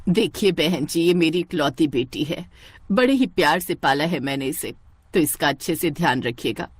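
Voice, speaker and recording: A caring middle-aged cartoon mother, acted by a woman narrator. She speaks in a lowered, fussing, fond voice with lively intonation and very little room sound. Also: normal speed, slightly rough, dry recording.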